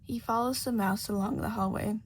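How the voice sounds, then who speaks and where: A woman's voice: on the high side, fairly even intonation, brisk, a little hiss or hum. A woman reading scripted fiction as a story narrator. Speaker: podfic narrator.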